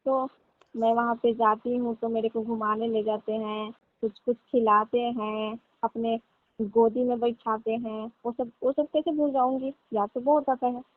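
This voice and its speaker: High-voiced woman on a tinny phone line. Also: flat delivery, a little slow, no room sound. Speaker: caller. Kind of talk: casual flirtatious phone chat. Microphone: phone call. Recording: thin narrow-band phone line.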